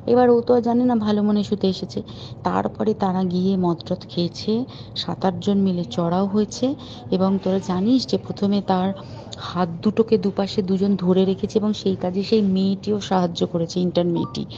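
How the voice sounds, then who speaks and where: A woman's voice: mid-range voice, fairly even intonation, normal speed, dark tone, slight background noise. A woman giving an emphatic, indignant, informal account as a caller on a recorded phone call.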